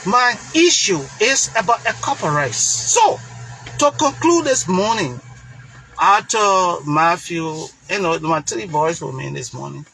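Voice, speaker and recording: A man's voice: sits high, very animated, measured pace, creaky, bright, forceful tone, slight background noise, rough recording. A man from Liberia with a Liberian accent, giving an impassioned political monologue in an emphatic, preacher-like way, repeating phrases for stress. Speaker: political commentator.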